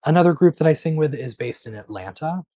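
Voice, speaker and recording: A man hosting a knitting channel, answering viewer questions in a relaxed, patient chat to camera, with many small pauses, recorded on a clip-on mic. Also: fairly high pitch, no room sound, studio quality. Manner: conversational, explains patiently with frequent um and so.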